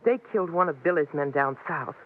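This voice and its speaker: A woman's voice: low voice, expressive, fairly quick, phone-quality sound. An actress playing a lonesome, plain-spoken American frontier woman in a 1950s radio western, on an old broadcast recording. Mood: wistful confiding. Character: weary, lonesome frontier woman with a troubled past.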